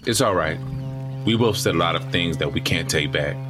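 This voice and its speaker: A man's voice: deep voice, a little fast, music in the background, natural voice. A man playing a grieving father and brother with clipped, emotional delivery in an audio drama. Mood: subdued, regretful. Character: grieving father, mild-mannered brother turning angry.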